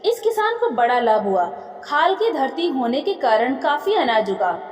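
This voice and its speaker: A high-voiced woman narrates an old moral tale with lively, wide-ranging intonation. Her phrasing is literary and dramatic. The room rings with long reverb. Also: unhurried. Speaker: story narrator. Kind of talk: expressive reading of a moral folk tale.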